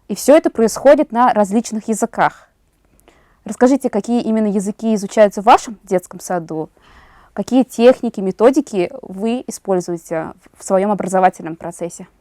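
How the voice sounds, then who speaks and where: Woman host for a sit-down interview, mellow timbre, medium pitch, very dry close sound. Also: no echo. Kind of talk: prepared interview questions.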